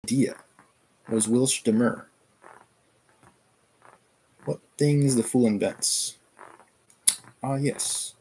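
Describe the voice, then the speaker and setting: A man voicing a dying, delirious mother, hoarse and gasping between words, in a read-aloud novel. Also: mid-range voice, steady tone, extremely slow, pauses often, dark tone, a little hiss or hum, rough recording. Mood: feverish.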